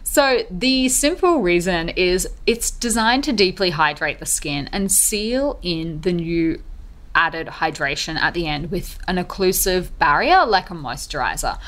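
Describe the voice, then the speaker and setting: A woman's voice: lowish voice, expressive, a little slow, a bit bright. A woman hosting a podcast, explaining skincare methods in an upbeat, chatty way. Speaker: podcast host. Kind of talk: podcast monologue.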